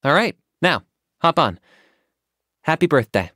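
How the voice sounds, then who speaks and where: A man voicing a calm, pragmatic young hunter in a video game, businesslike, deadpan and composed. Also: on the high side, measured pace, dry recording, studio quality, exaggerated voice. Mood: calm, reassuring. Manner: deadpan, matter-of-fact, composed. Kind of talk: video game character voice acting.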